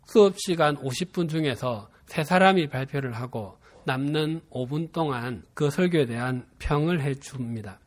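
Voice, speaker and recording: A man in his fifties from Busan with a Gyeongsang dialect accent, preaching a sermon and telling a personal story in a measured, earnest way. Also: medium pitch. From Busan, South Korea. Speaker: pastor.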